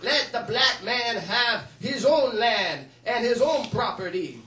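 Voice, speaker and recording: A man's voice: very high voice, animated, slow, pushed and bright, heavily acted. A man performing a fiery male political preacher-orator in a comedy monologue, with emphatic, repetitive rhetoric. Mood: urgent, warning. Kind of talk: mock political oratory from a film. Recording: roomy, distant mic, faint noise.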